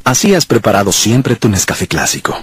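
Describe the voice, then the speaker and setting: A man reading a radio commercial voice-over in a smooth, persuasive way, with music under him. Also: on the low side, very animated, brisk. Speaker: commercial announcer.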